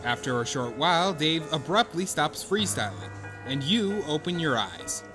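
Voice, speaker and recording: A man performing an earnest, excitable storyteller in a comic audio drama. His fairly high voice swings widely as he narrates with theatrical, mock-solemn gravity. Soft music plays behind him. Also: moderate pace. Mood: fond exasperation. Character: earnest, excitable male narrator of an awkward would-be friend. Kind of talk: dramatic second-person scripted narration with comic profanity. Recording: music under the voice. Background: background music.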